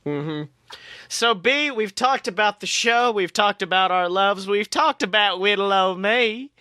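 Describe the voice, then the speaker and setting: A man co-hosting a podcast, chatting casually and excitably as a fan, swearing freely. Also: sits high, measured pace, clear, resonant tone, no echo, studio quality. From southern United States. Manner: informal, profane, excitable fan talk. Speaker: podcast co-host.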